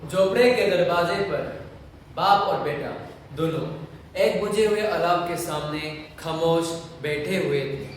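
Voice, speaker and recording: A man's voice: a little high, a little slow. A man narrating a scripted story in a dramatic audio-play style. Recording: very echoey room, faint background noise.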